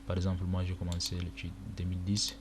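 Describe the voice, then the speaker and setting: A young man from Chad whose first language is Arabic, casually talking through a screen-recorded walkthrough with many pauses and fillers. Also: low-pitched, completely flat delivery. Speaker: tutorial presenter. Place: indoor room at a computer. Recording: noisy home recording with keyboard clicks.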